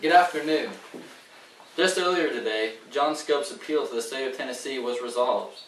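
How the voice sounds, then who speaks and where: A man's voice: medium pitch, normal speed, fairly bright tone, close to an everyday voice. Guy as a 1920s radio reporter, solemn scripted read, roomy sound, off the mic, slight noise. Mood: formal. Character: solemn 1920s male radio news correspondent. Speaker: news reporter. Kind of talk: scripted newscast reading, amateur period skit. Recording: roomy, distant, faintly noisy.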